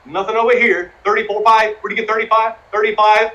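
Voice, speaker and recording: A man running a charity auction, rattling off bids in a rolling chant and urging bidders to click once more. His voice sits high and rings bright and tense. He keeps the numbers coming without a break. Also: fairly even intonation, dull audio. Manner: fast rhythmic number calling, coaxing bidders. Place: live streamed fundraising event.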